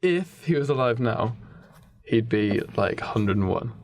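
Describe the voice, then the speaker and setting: A man's voice: medium pitch, expressive, unhurried, darkish tone, dry recording. A young British man co-hosting a podcast, improvising a comic story in casual chat and piecing it together hesitantly as he talks. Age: young adult. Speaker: podcast co-host. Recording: clear background noise.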